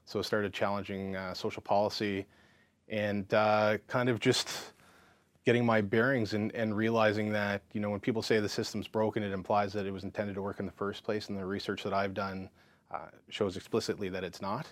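A man's voice: lowish voice, brisk, no echo. Frank man in his thirties. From Canada. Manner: frank and lively, full of fillers and restarts. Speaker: counselor and psychotherapist, interview guest. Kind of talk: casual interview storytelling.

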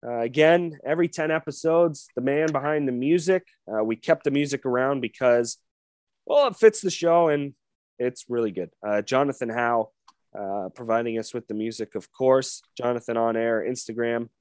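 A man's voice: mid-range voice, normal speed, a little mellow, clean tone, no room sound, studio quality. A man co-hosting a sports podcast, running through game details in a casual chat. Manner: rundown of game details, hedging fillers. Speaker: podcast co-host.

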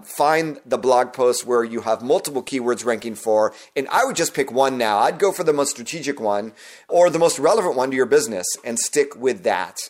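A man hosting his own podcast, talking listeners through his process in an earnest, instructive way, his voice gone hoarse. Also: medium pitch. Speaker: podcast host. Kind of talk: solo podcast monologue.

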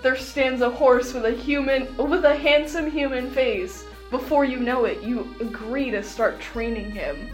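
A woman hosting a podcast in casual, joking chat, with an animated, giggly, mock-incredulous manner. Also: high voice, measured pace, few pauses, faint music behind, rough recording. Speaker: podcast host. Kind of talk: casual, joking chat about visual novels.

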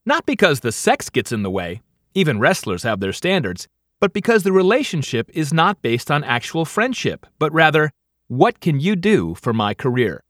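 A middle-aged man reads a first-person audiobook in a fairly high, animated voice. His delivery is wry and scripted, setting up punchlines with dry comic timing. The sound is close and dry. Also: moderate pace, no room sound. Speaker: author reading own audiobook.

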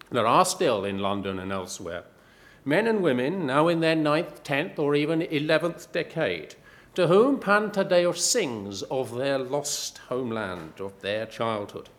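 A middle-aged male professor giving a prepared, measured talk with dry, wry asides. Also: mid-range voice, fairly bright tone. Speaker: professor giving a book pitch.

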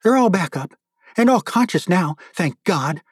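Man acting an outraged, high-strung club president. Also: expressive, measured pace, breathy edge, dry recording, clean studio sound, exaggerated voice. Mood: angry accusing. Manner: accusing, emphatic. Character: furious indignant male dive club president, sputtering.

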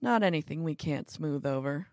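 A woman's voice: sits low, expressive, moderate pace, a little mellow, no echo, clean studio sound. A woman voicing a nervous, deferential henchman in an audiobook, with a formal, placating manner.

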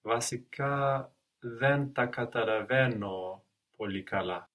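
A man's voice: mid-range voice, slow pace, a bit bright. A man in the middle of a one-on-one language lesson. He gives brief, careful answers and repeats phrases back to his teacher. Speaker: language student.

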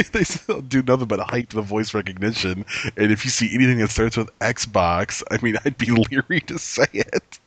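A man hosting a casual chat, relaxed, laughing often and asking questions. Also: on the low side, normal speed, no room sound. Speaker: host.

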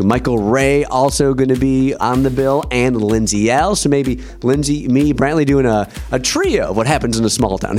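A man hosts a country radio show with upbeat promotional patter. His pitch rises and falls in a lively way, and country guitar music plays beneath his voice throughout. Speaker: radio host. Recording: music bed under the voice.

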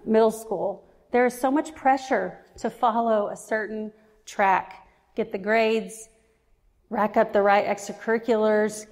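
Woman in her forties, sermon, reflective, slightly gravelly voice, reverberant hall. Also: mid-range voice, darkish tone. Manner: earnest, reflective, slows down for emphasis. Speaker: preacher. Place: church.

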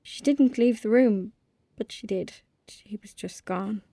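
An actress playing a frightened, guilt-ridden young girl in an audio drama, with an Irish accent and a halting, intense delivery that dips toward whispers. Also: medium pitch, mellow, no room sound. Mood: haunted, distraught.

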